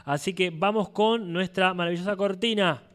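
A man's voice: high voice, normal speed. A man with an Argentine Spanish accent hosting a casual talk show, debating in an emphatic way.

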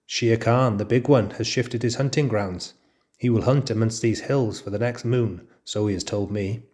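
A man's voice: medium pitch, natural voice. A man doing a sly, fawning jackal. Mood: spiteful. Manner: wheedling, drawn-out flattery. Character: fawning, spiteful male jackal, small and sly. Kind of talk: storybook reading.

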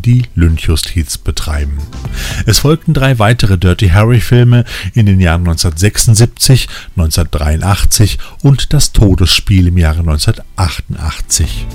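A man's voice: on the low side, darkish tone. A man reading scripted narration as a podcast host. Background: background music.

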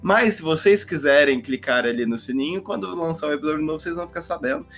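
A man's voice: on the high side, quick pace, a bit bright, soft background music. Chatty Brazilian man from Minas Gerais. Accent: Minas Gerais Portuguese. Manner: rambling, restarts sentences, casual. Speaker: podcast co-host. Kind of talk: relaxed podcast chat and sign-off.

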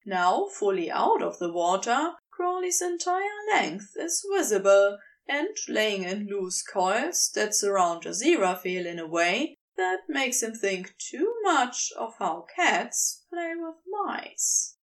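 A woman reading a story aloud as an audiobook narrator, in an expressive scripted style. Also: mid-range voice, slow pace, few pauses, distant mic. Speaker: audiobook narrator of fan fiction.

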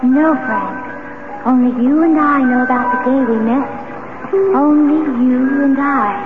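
High-voiced, coaxing ghost of a young woman. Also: measured pace. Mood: softly coaxing. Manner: sweet, pleading, coaxing. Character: ghost of a gentle, lovelorn young woman, softly insistent. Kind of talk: 1970s radio drama acting. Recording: tinny, narrow-band vintage radio under loud music.

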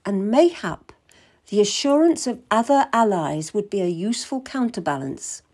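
A woman reading an audiobook voices a pompous, patronizing older male cardinal in a smooth, condescending, deliberate way. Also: mid-range voice, expressive, darkish tone, close to an everyday voice. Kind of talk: audiobook character voice.

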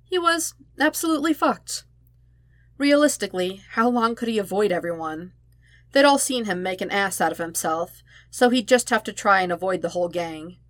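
A woman reading fan fiction aloud in an expressive audiobook style, with emphatic, dramatic phrasing. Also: mid-range voice, moderate pace, no echo. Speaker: podfic narrator.

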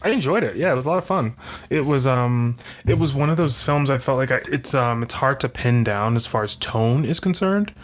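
A man chats casually as co-host of a film podcast. His voice is medium in pitch. He rambles and jokes, often breaking off his own sentences to start again. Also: lively intonation, brisk. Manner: rambling, joking, self-interrupting. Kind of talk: casual, unscripted film chat.

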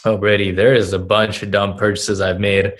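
Casting: 19-year-old man from Russia, very deep and monotone, loose rambling interview delivery. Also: moderate pace. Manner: rambling, heavy on fillers like "you know" and "right?". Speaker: guest entrepreneur. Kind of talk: casual interview answers.

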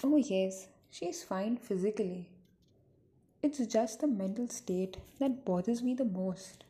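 A woman's voice: mid-range voice, slow pace, dark tone, close to an everyday voice. A woman playing a worried, earnest niece burdened by a sad secret in an amateur radio drama. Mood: grave concern. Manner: earnest, grave.

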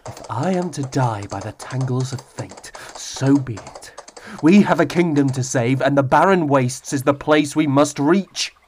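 A man's voice: mid-range voice, a little slow, few pauses, a little mellow, a little hiss or hum, natural voice. An actor playing a noble young king in a fantasy audio drama, speaking in formal, archaic, dramatic phrases. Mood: grave, resolute. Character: noble young male fantasy king, devoted, desperate lover.

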